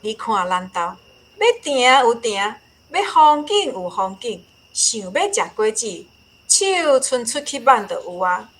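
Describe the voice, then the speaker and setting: A woman's voice: fairly high pitch, animated, unhurried, fairly bright tone. A woman with a Taiwanese accent playing a proud, homely country grandmother in a radio drama, with a folksy, emphatic way of talking.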